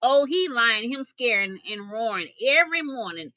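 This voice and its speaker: Little forest creature voiced by a woman, worried, plaintive, high-pitched, folksy storybook dialect, pleading. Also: lively intonation, moderate pace, sharp tone, dry recording, muffled recording. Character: troubled, frightened little forest animals, pleading.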